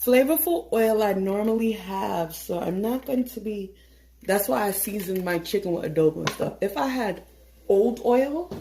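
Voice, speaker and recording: A woman talks her way through a late-night cooking session in her home kitchen, joking and swearing casually as she works. She sounds tired but chatty. The room is echoey, with clear background noise under her voice. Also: mid-range voice, animated, moderate pace, warm tone. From Haitian family. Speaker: home cook vlogger. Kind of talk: casual cooking vlog chat.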